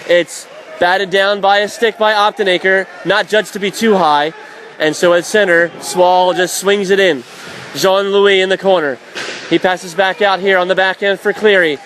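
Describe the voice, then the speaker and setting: A man calling live ice hockey play-by-play for radio, excitable and raising his voice on big plays. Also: sits high, rough recording. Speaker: play-by-play commentator. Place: indoor ice rink broadcast position. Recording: live arena commentary with faint crowd noise. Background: faint crowd and rink noise.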